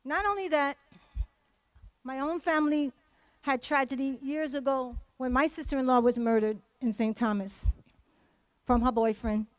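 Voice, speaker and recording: A woman's voice: high-pitched, normal speed, pauses often, no echo. A woman giving an impassioned, emphatic speech at a community event, repeating phrases for stress. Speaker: community member giving testimony.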